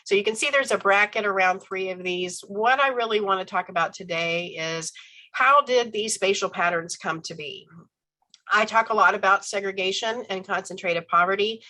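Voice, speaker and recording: A woman's voice: medium pitch, normal speed, bright, forceful tone, rough recording. A woman professor giving an online lecture while stuffy and congested from a head cold, speaking conversationally with ums and self-corrections. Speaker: university professor giving a talk.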